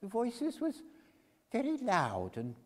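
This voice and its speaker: A man plays a garrulous working-class Victorian woman in the witness box. The high voice is prim, halting and swooping, echoing in a big church. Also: huge pitch swings, full character voice. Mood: prim, flustered. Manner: flustered, primly self-righteous, halting. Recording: reverberant church hall.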